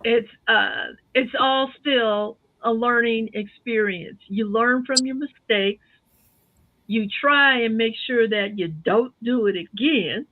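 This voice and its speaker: A woman's voice: a little high, slow. A woman explaining computer tips on a talk show in a chatty, step-by-step way, heard over the phone. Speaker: tech segment guest. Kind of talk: casual explanation on talk radio. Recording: tinny phone line.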